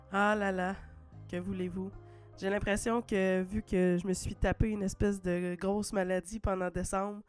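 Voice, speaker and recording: A woman's voice: mid-range voice, darkish tone. A woman with a Québécois French accent hosting a livestream while sick with a cold, chatty and full of "euh" fillers and playful asides. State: congested with a cold. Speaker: livestream host.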